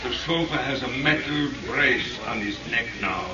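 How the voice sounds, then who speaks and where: An actor playing a serious doctor in a 1930s radio drama. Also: male voice, mid-range voice, unhurried, lightly acted. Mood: grave. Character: grave, urgent male doctor at accident scene. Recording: echoey muffled radio, busy crowd behind.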